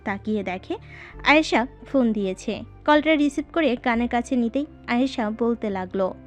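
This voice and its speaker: A woman's voice: on the high side, moderate pace. A woman narrating a scripted story as its narrator. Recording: voice over background music.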